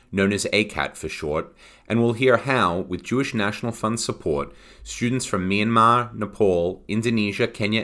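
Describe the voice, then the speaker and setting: Man with a low voice reading a formal welcome, measured and calm. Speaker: announcer. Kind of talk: scripted introduction.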